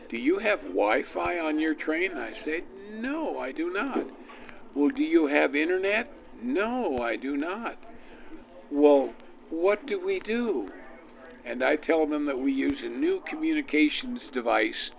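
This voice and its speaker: A man with dry, deadpan humour answering an interview as a rail car owner, through a vintage radio filter. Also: fairly high pitch, vocal fry, narrow, tinny audio. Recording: band-limited old-radio sound.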